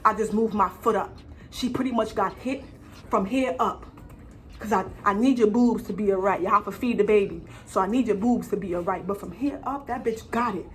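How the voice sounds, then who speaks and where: A woman's voice: medium pitch, fairly quick, slight background noise. A young adult woman telling a slangy, casual storytime as a vlogger, emphatic, dramatic and freely swearing, repeating phrases for effect. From United States.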